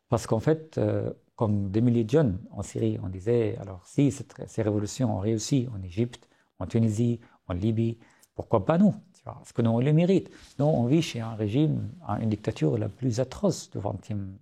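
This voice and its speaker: A man from Syria talks in French with an Arabic accent, his voice low, dark and gravelly with a husky edge. He speaks earnestly as an interview guest, often pausing on fillers and restarting his sentences. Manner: earnest, frequent hesitations and restarts. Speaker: guest author. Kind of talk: podcast interview.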